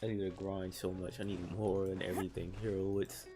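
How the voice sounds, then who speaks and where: A man's voice: deep voice, little pitch movement, brisk, mellow. A man giving casual gameplay commentary in short, offhand reactions. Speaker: gaming streamer. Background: faint game music.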